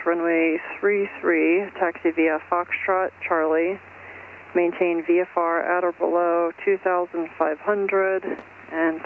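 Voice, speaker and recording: A man's voice: a little high, gravelly, a little hiss or hum. A man working as an airport controller, giving procedural instructions over aviation radio. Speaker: airport ground and tower controller. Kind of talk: air traffic control instructions. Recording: narrow, tinny aviation radio transmission.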